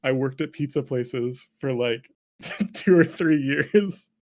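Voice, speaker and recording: A man's voice: mid-range voice, takes it slowly, darkish tone. A man around thirty with a North American accent telling stories casually as an interview guest, self-deprecating, swearing freely and laughing easily. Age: late 20s to early 30s. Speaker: interview guest, game developer. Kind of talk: casual conversational storytelling.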